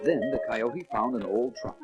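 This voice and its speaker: A man's voice: medium pitch, animated, moderate pace, lo-fi sound, over loud music. A man narrating a children's read-along story in a scripted storytelling style.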